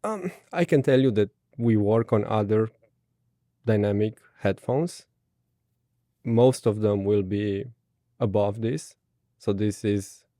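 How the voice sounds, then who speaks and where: Low, mellow man with a Romanian accent. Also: no echo, studio quality. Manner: brief, measured technical answers. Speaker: guest. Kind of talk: product interview answers.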